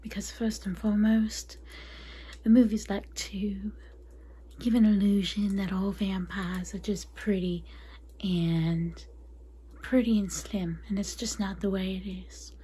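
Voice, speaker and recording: A woman playing a chatty, catty female vampire consultant in a soft, breathy, confiding ASMR roleplay whisper. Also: medium pitch, steady tone, unhurried. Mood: welcoming, confiding. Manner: confiding, gossipy, apologetic asides.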